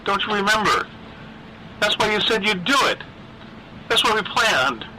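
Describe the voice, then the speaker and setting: A man voicing a lonely, obsessive teenage boy ghost who pleads in a halting, plaintive, insistent way in a horror story. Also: high-pitched, expressive, bright, forceful tone, a little hiss or hum, voice pushed up, exaggerated voice, low-quality recording. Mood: pleading, eerie.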